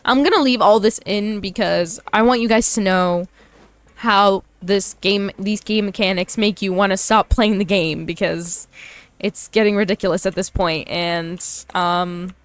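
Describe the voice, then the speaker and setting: A young woman giving casual, blunt, joking commentary as she plays a video game, in a clear, smooth voice. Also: medium pitch, steady tone, measured pace, no echo. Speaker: gaming YouTuber.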